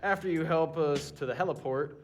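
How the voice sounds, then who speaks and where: A man's voice: on the high side, a little fast, over music, close to an everyday voice. A man playing an impatient, coolly arrogant genius doctor who speaks with dry, pompous precision in an audio drama.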